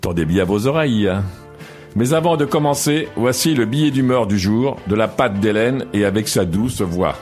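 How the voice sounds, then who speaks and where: A man's voice: lowish voice, animated, normal speed, darkish tone, faint music behind. A man presenting a weekly community radio show, greeting listeners and chatting casually with his technician.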